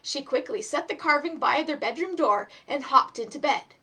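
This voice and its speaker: High-voiced woman hosting children's storytime. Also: lively intonation, normal speed, bright, forceful tone. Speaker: storytime host and reader. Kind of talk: live children's storytime reading.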